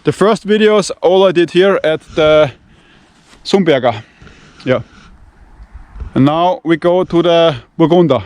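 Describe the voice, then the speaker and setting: Blunt high-voiced Austrian man. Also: a little slow, pauses often, darkish tone. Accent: Austrian German. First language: Austrian German. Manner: blunt, profane, rambling in broken English. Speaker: knife-testing channel host. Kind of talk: casual walking vlog. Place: outdoors among vineyards and fields.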